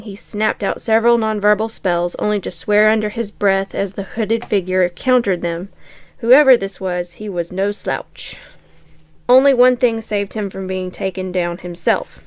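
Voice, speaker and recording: A woman with a very clear, smooth voice at a medium pitch reads a story aloud as a podcast co-host. The sound is dry and close. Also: no room sound. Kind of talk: reading fan fiction aloud.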